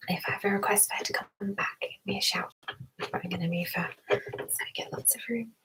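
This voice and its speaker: A woman hosting an ASMR stream, speaking in a soft whisper with a gentle, soothing, unhurried manner. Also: medium pitch, fairly even intonation, poor audio. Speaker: ASMR live stream host. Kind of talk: ASMR personal attention roleplay.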